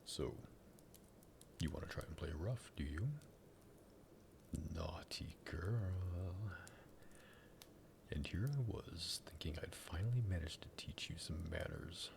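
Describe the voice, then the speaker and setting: A man playing a sadistic, possessive vampire master in an ASMR roleplay, in a breathy, gravelly whisper with a teasing, condescending drawl. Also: low voice, big pitch swings, slow, pauses often, background noise, exaggerated voice. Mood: threatening. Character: sadistic vampire master, possessive and menacing, toying with captive lover.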